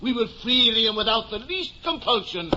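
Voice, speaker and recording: An actor playing a politely sceptical travelling gentleman, speaking in formal, florid phrases in an old radio drama. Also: very high voice, lively intonation, normal speed. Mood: courteous, sceptical. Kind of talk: 1950 old-time radio drama acting. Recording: dull, lightly noisy old radio recording.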